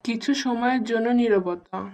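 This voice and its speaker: A woman's voice: on the high side, moderate pace, darkish tone. A woman reading scripted narration for a moral story. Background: music.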